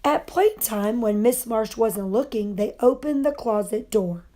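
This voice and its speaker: A woman reads a storybook aloud to children. Her voice is fairly high and her pace is slow and deliberate, with expressive delivery. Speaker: storybook reader.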